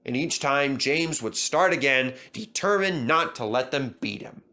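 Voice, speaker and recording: A man reading a children's railway story aloud in an expressive narrating style. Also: medium pitch, a little slow. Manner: expressive, lively. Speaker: storybook reader.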